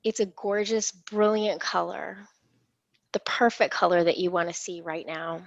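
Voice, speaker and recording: A woman leading a guided imagery meditation in a soothing, unhurried, reassuring manner. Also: lowish voice, fairly even intonation, vocal fry, dry recording, muffled recording. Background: gentle instrumental music.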